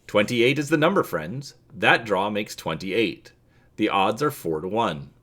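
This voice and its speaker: A man's voice: fairly low pitch, animated, unhurried, a bit bright. A man voicing a formal droid dealer who calls out casino bets in a storybook reading. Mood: formal, announcing. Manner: announcing, formal.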